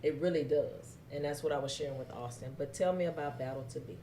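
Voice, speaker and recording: A woman hosting her show, her voice low and loud enough to carry across a room. She rambles warmly through stories with plenty of "you know" and restarts. The sound bounces around a roomy space. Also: fast talker, slight background noise. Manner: loud voice that carries, lively storytelling, many fillers. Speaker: talk show host. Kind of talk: casual chat.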